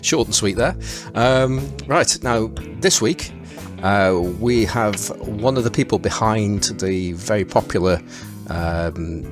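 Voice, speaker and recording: A man hosting a podcast in a conversational, somewhat halting and hesitant way. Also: low voice. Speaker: podcast host. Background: guitar music.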